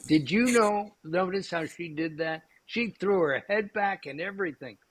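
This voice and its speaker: A man's voice: sits high, normal speed, fairly bright tone. A man hosting a cooking show, chatting casually with lots of fillers and restarts. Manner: rambling, many fillers and restarts.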